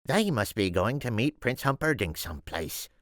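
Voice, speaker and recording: A man voicing a thorny, worrying old farmer's wife in an excitable, scolding falsetto. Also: moderate pace, no echo, clean studio sound. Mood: awed.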